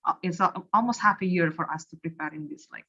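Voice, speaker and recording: A woman's voice: fairly low pitch, fast talker, pushed and bright. A woman from Indonesia, a native Indonesian speaker, hosting a casual online panel discussion. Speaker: host and curator. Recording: slightly dull lo-fi online audio.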